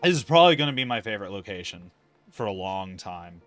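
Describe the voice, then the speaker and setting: Low-voiced man streaming a game. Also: very animated, quick pace, fairly bright tone, faint music behind. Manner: rambling, thinks aloud, casually sweary. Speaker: game livestreamer. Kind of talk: casual livestream commentary while playing an online fantasy game.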